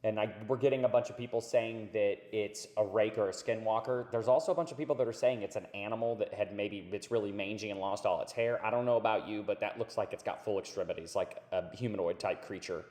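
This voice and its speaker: A man hosting a channel, talking casually and rambling over clips, with a raspy voice that is hoarse from a recent illness. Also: lowish voice, steady tone, fast, few pauses, a little mellow. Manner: rambling, laid-back, says dude and man. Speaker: channel host. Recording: hollow tunnel-like echo.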